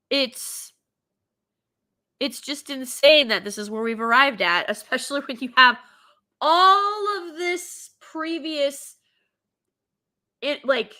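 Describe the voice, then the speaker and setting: A woman hosting a commentary show, talking opinionatedly and stuffy with a cold, dropping into mocking impressions now and then. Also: fairly high pitch. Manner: animated, mocking impressions in asides. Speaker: show host.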